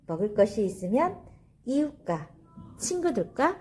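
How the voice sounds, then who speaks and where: Animated, high-pitched woman telling children's stories. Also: measured pace, slightly rough, faint music behind. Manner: didactic, addressing children directly. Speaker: teacher and storyteller for children. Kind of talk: children's story reading with commentary.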